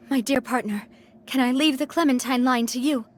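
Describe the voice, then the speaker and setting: A woman's voice: high voice, normal speed, slight background noise, natural voice. An actress voicing a kind, responsible young woman who leads a close-knit band in a video game. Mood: worried, decisive. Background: faint music.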